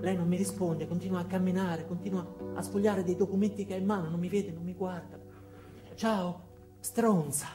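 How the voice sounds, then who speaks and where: A man performing a comic first-person theatre monologue in short clipped phrases with dramatic pauses. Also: high-pitched, level tone, over music. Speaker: stage actor performing a monologue. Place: indoor theatre. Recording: roomy, echoey stage with background noise.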